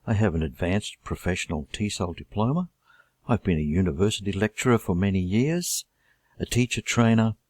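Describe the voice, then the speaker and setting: A middle-aged Australian man introducing his English course in a measured, deliberate teaching manner. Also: low voice, darkish tone, slightly rough, no echo, clean studio sound. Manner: measured, deliberate delivery with listed items.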